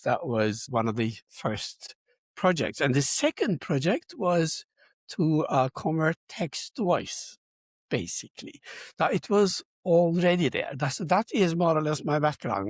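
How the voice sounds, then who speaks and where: Older man, husky voice. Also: a little high, no echo. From Turkey. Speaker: guest, computer science educator. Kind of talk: interview self-introduction, conversational.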